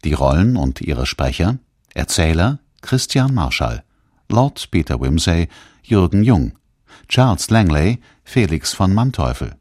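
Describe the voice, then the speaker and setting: Really deep guy reading radio drama credits, slow and formal, lots of up and down. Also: very animated, darkish tone, husky, no room sound, studio quality. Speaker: announcer.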